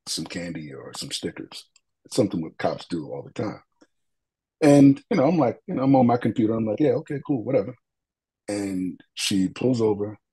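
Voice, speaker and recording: A man with a deep voice, a guest in an interview, telling an earnest, reflective story with frequent fillers and restarts. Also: darkish tone, rough recording. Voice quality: deep, husky voice.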